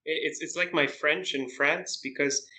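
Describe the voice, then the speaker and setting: Hesitant young man with a bright, higher voice. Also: normal speed. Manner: relaxed storytelling, hesitant with "uh" and restarts. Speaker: guest. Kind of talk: casual chat.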